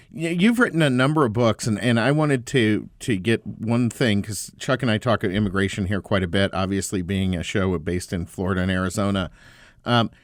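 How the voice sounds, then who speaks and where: A man co-hosts a talk radio show with a low voice, recorded dry and close. He asks about policy in a measured, curious way. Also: no echo.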